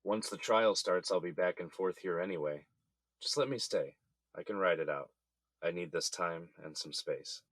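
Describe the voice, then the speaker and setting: Deep-voiced, weary jailed businessman. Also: moderate pace, fairly bright tone, no room sound. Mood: resigned, stubborn. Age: middle-aged. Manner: weary, blunt. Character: weary, jailed middle-aged businessman, blunt and stubborn. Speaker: actor in an audio drama. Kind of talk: naturalistic scripted drama dialogue.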